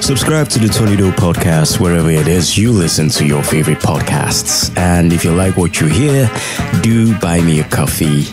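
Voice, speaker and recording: A man's voice: low voice, expressive, normal speed. A man hosting a podcast, putting short questions to a guest and delivering a scripted sign-off. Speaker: podcast host. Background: music.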